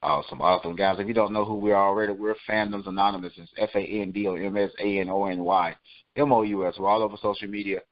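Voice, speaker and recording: A man with a fairly low voice hosts a casual podcast. His speech is informal and conversational. The recording is dry and somewhat dull, like lo-fi online audio. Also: steady tone, fast, no echo. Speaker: podcast host. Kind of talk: casual podcast chat and sign-off.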